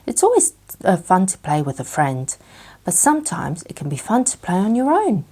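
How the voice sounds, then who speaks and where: Storybook boy, young, gentle, reassuring, lively intonation; female reader. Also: fairly low pitch, normal speed, husky, heavily acted. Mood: kind, reassuring. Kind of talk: children's bedtime storybook reading.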